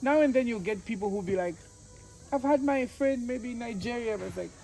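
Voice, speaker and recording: A man's voice: very high voice, a little fast, gravelly, very noisy. A man from Tanzania whose first language is Swahili, a guest on a vlog, talking outdoors by a lake in a thoughtful, hesitant way. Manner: thoughtful, hesitant, with fillers and restarts. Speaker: guest, travel vlogger. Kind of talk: casual chat.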